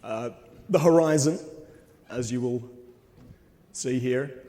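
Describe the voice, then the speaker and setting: Slightly hoarse man, earnest and halting, with a long hall reverb around his voice. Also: mid-range voice, warm tone. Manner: hesitant, frequent ums, earnest. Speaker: conference speaker. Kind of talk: presentation talk with slides. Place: conference hall.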